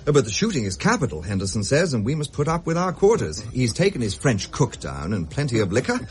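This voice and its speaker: Breezy, animated English army captain, played by a man. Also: medium pitch, fast talker, a little hiss or hum, natural voice. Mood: jovial. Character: breezy, roguish Victorian bachelor army captain, flippant and jovial. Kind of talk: period radio drama acting.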